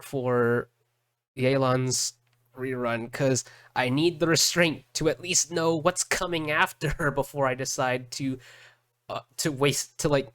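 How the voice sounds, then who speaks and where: A man giving casual reaction commentary as a streamer, halting and often repeating his words. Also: medium pitch, a bit bright, no echo.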